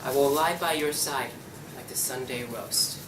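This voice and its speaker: A woman's voice: very deep voice, a little slow. Young woman playing a lovesick draper, echoey and distant. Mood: ardent. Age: young adult. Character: lovesick Welsh draper, man mad with love. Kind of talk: table read of a radio play. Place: room at a university table read. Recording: distant echoey room with clear noise.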